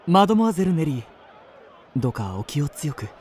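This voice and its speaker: Suave gentleman narrator, expressive delivery. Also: on the high side, a faint crowd, slight background noise. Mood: gallant, confident. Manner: measured, polished, courteous. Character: suave, well-bred young gentleman traveller telling his story. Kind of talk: radio drama narration and dialogue.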